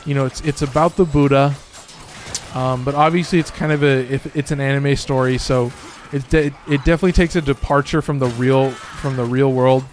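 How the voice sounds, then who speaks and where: A man chatting loosely through a game stream in an ordinary middle-pitched voice, a little hoarse and raspy. He swears freely and keeps up easy, rambling talk. A faint hiss of noise sits under the voice. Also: steady tone, brisk. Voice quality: slightly raspy. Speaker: gaming streamer. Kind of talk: casual gameplay commentary.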